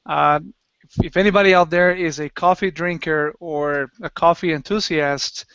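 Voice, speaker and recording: Man from Colombia, guest, smooth clear voice, dry muffled video call sound. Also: a little high, steady tone, normal speed, a bit bright, dry recording. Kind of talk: casual video call conversation.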